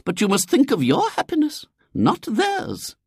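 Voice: high-pitched, wildly swooping pitch, measured pace, slightly rough, no echo, studio quality, voice pushed up, heavily acted. A storyteller voicing a prim elderly lady in an audiobook, earnest, preachy and fussily sympathetic. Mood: gushing concern.

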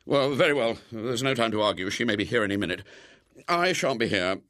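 A man's voice: fairly low pitch, animated, a little fast, a bit bright, dry recording, studio quality. A man voicing a nervous, flustered middle-aged man who stammers and pleads. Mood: hurried.

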